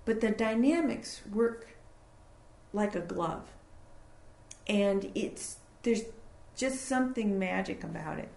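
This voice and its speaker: A woman recording engineer talking casually in an interview about her studio, explaining with fillers and pauses. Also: mid-range voice, expressive, gravelly, a little mellow. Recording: echoey room.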